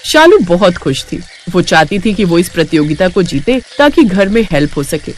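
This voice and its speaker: A woman's voice: on the low side, big pitch swings, moderate pace, a little hiss or hum. A woman narrating a scripted cartoon family story.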